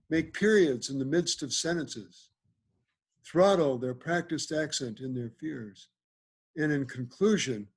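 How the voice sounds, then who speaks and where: A man reading the part of a lordly, genial ruler in Shakespearean verse at an amateur table read over video call. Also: medium pitch. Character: lordly, genial male ruler of Athens, a bridegroom. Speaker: amateur reader in a play.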